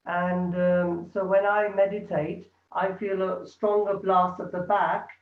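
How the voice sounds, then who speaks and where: A man leading an online meditation session, speaking in a calm, gentle and inviting way over a video call. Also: sits high, slow. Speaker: meditation group leader. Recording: echoey, distant, tinny video call audio.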